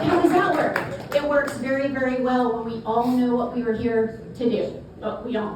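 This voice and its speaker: A middle-aged woman with a South Carolina accent, speaking as a guest at a networking event in a folksy, chatty way. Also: a little high. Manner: folksy, chatty, repeats words. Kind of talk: networking talk to a live audience. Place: event space. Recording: echoey room from far off, noisy.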